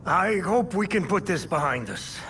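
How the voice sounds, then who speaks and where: An actor playing a slippery, nervous crime boss in a video game, ingratiating and wheedling with false geniality. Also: male voice, high-pitched, expressive, normal speed, a bit bright, recorded from a distance, slight background noise. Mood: pleading, wheedling. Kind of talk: video game cutscene acting.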